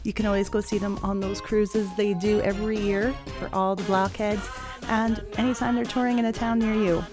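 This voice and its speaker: A woman's voice: mid-range voice, flat delivery, moderate pace, over music. A woman in her forties hosting a podcast interview, chatty and effusive, laughing often. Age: 40s. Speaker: podcast host. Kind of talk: casual interview chat.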